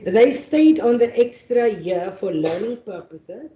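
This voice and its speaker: A woman's voice: medium pitch, sing-song, measured pace, dark tone. Afrikaans-speaking woman, echoey hall. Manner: reads prepared remarks, frequent restarts. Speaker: panel facilitator. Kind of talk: formal speech and speaker introductions. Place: university lecture venue. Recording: echoey hall, dull sound.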